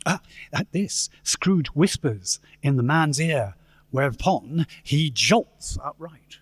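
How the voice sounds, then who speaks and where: A man's voice: fairly high pitch, lively intonation, takes it slowly, husky, no echo. A man narrating a scripted story in a staged radio play.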